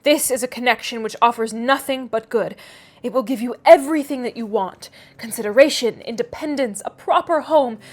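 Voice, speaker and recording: A woman voicing a self-assured young gentlewoman matchmaker in a classic novel, knowing, precise and a little condescending. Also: a little high, moderate pace, lightly acted. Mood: delighted, confident. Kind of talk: classic novel read aloud.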